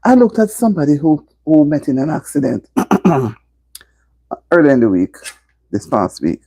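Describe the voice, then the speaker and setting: A woman's voice: low-pitched, sing-song, normal speed, dark tone, husky, dry recording. A middle-aged woman from Jamaica with a Jamaican accent, chatting casually to viewers on a live stream and repeating herself for emphasis. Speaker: livestream host.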